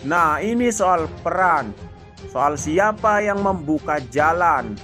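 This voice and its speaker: A man delivering scripted political commentary narration in a measured, deliberate way. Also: fairly high pitch, animated, pushed and bright. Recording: faint background music.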